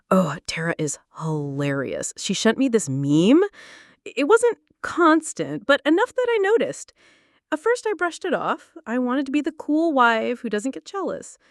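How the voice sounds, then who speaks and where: Animated woman narrating a confession. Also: a little high, no room sound, clean studio sound. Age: late 20s. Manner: confessional, lively storytelling. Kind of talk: first-person storytelling narration.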